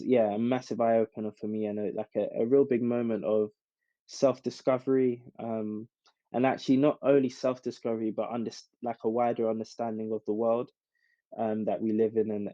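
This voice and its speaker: A man's voice: on the low side, flat delivery, a little fast, warm tone, no room sound. A man from South London with a London accent, answering casually and reflectively as a podcast guest. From South London, England. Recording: dull, dry recording with music.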